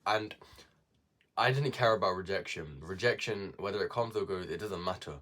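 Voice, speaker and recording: A man's voice: low voice, normal speed, fairly bright tone. A young man giving casual self-improvement advice to viewers, repeating key words for emphasis.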